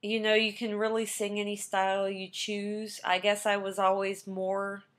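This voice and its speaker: A woman's voice: mid-range voice, completely flat delivery, moderate pace. A young woman, a trained singer, talking casually about her background to a webcam, with plenty of ums and restarts. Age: young adult. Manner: rambling, many ums and restarts.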